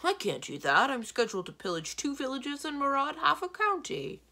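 A woman voicing a big, gruff, grumbling giant husband in a storybook, stubborn and booming. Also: big pitch swings, measured pace, a bit bright, exaggerated voice. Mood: stubborn protest. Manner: stubborn, blustering.

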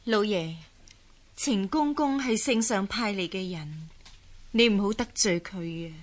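An actress playing an official's worried, cautious wife in a radio drama, gently anxious. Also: female voice, medium pitch, a little slow, natural voice.

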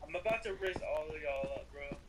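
A man's voice: a little high, lively intonation, brisk, slight background noise, rough recording. A young man playing a roleplay game, chatting casually and joking in slang. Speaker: roleplay server player. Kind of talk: casual chat while gaming.

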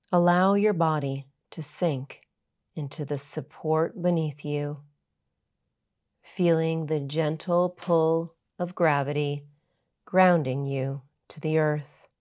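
Woman, low pitch, very slow pace, soothing yoga teacher, guided meditation narration, measured instructions. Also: steady tone, darkish tone.

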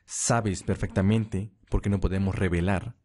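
A man acts out a cold vampire watchman in an audio roleplay. His voice sits low and dark, with curt, scornful delivery and a quiet menace. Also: steady tone, unhurried. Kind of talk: Spanish-language audio roleplay acting.

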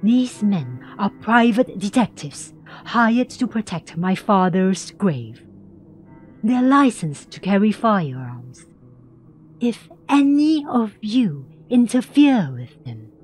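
Woman acting a hot-tempered crime heiress, dark tone, lively pitch, domineering, scornful, comic drama. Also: slow, slightly rough, soft background music, full character voice. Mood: threatening. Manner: commanding, scornful. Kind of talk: comic book fan dub dialogue.

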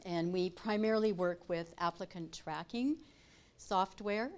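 Woman CEO presenting at a pitch event, low-pitched, conversational. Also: unhurried, darkish tone. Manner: chatty, self-interrupting asides. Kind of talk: business presentation. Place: conference room. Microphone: lapel mic.

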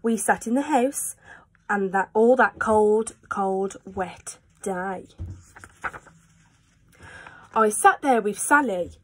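A woman's voice: medium pitch, takes it slowly, long pauses. A woman in her twenties reading a children's storybook aloud like a teacher to pupils. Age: 20s. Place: small room at home, indoors. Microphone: phone at arm's length.

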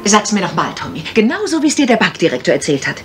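A woman's voice: medium pitch, sing-song, quick pace, over loud music, lightly acted. An actress playing an alert, inquisitive female detective in a German radio crime drama. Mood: probing.

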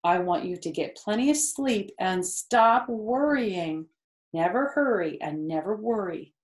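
A woman voicing a calm, motherly female spider in a children's storybook, reassuring, collected and gently instructive. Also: medium pitch, a little slow, close to an everyday voice. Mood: gently firm. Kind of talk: read-aloud children's storybook. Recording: roomy, echoey indoor sound.

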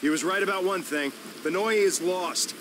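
An actor playing a gruff, blunt, commanding leader of survivors in a video game cutscene. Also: male voice, high-pitched, normal speed, reverberant, background noise, natural voice. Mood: grim. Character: gruff, foul-mouthed man leading survivors, grimly practical.

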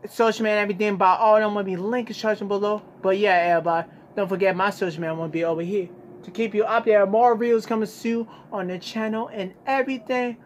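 A man's voice: high-pitched, fairly even intonation, quick pace, hardly any pauses, dull audio. Young male reaction host, casual slangy delivery, exclamatory, phone mic in a bedroom with slight noise. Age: young adult. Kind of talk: casual music video reaction chat. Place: small bedroom, indoors. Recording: noisy home recording.

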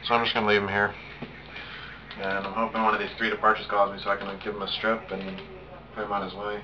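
Fast-talking young American man, noisy dull recording. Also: fairly low pitch, flat delivery. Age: young adult. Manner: casual, swears when thinking aloud. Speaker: hobbyist air traffic controller. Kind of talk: rapid radio phraseology with casual asides. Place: small home room, indoors. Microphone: camera on desk a step away. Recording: muffled, noisy webcam audio.

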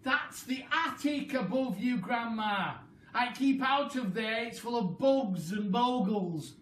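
A woman's voice: on the high side, expressive, slow pace, voice pushed up. A woman voicing a young boy in a storybook, calling out in eager, pleading exclamations. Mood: excited, anxious. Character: young boy, excited and worried. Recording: roomy, distant mic, faint hiss.

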